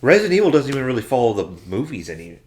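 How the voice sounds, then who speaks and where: A man's voice: mid-range voice, big pitch swings, rapid-fire, darkish tone. An American man hosting a casual panel chat. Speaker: podcast host.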